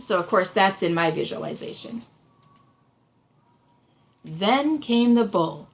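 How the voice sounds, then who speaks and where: A woman's voice: medium pitch, animated, slow pace. A woman reads a storybook aloud and discusses it in an encouraging, explanatory way. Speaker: reading tutor reading aloud to a child. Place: small room indoors.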